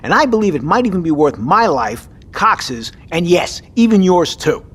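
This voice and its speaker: A man's voice: high-pitched, very animated, normal speed, breathy edge, exaggerated voice. A man playing a stern, duty-bound military captain in an audio drama. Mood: stern, resolute.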